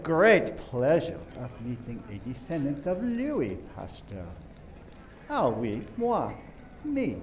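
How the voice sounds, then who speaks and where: Man playing a pompous, slow, swooping Frenchman. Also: mid-range voice, a little hiss or hum. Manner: theatrical, drawn-out, repetitive emphasis. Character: pompous, self-important Frenchman boasting of his famous ancestry. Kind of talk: community theater radio play acting.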